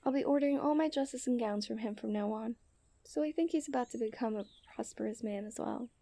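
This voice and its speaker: A young woman playing a coy, refined and tender princess in a romantic ASMR roleplay, speaking in playful storybook diction that often softens to a near-whisper. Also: on the high side, brisk. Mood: playful, knowing. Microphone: close studio-style microphone.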